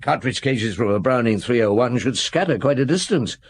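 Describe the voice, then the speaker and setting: An actor playing a shrewd, unhurried French chief inspector in a radio drama, musing in hums and asking blunt, probing questions. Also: male voice, mid-range voice, animated, breathy edge, no echo. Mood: musing, brisk. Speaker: actor in the lead role of a radio detective drama. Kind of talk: British radio drama acting with first-person narration.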